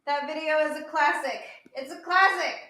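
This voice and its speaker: A woman's voice: high voice, steady tone, normal speed, pushed and bright. A woman co-hosting a livestream, laid-back and teasing, sounding worn out. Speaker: co-host. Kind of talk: casual livestream chat.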